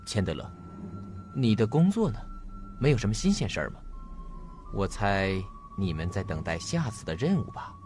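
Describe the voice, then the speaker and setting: A fatherly middle-aged man in a radio drama. His low voice moves in lively swings, measured but uneasy, with faint music behind. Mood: probing, uneasy.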